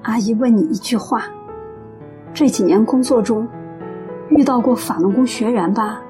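Woman doing kind earnest middle aged auntie, radio play, bit high pitched, gently praising, faint music under it. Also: normal speed, close to an everyday voice. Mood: earnest, gentle. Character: kindly middle-aged auntie, earnest, encouraging, grateful believer. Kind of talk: radio drama acting.

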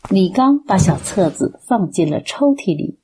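A woman's voice: mid-range voice, sing-song, normal speed, background noise. A woman narrating a scripted radio drama as storyteller.

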